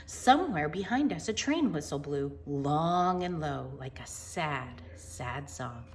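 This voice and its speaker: An American woman reading a storybook aloud to children in an informal, chatty and expressive way. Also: sits low, slow pace, a little hiss or hum. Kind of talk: storybook read-aloud with casual asides to children.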